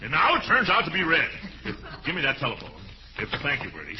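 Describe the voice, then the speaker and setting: A man's voice: a little high, huge pitch swings, fast. A man playing a pompous, exasperated man of the house, blustering through a 1940s radio comedy. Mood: indignant. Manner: blustering, theatrical indignation. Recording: dull, noisy old-time radio recording.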